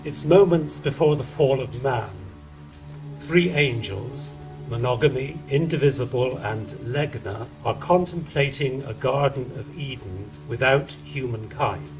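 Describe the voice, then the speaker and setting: A man's voice: medium pitch, measured pace, poor audio. A man delivering scripted dramatic narration as the narrator of an audio play. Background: music.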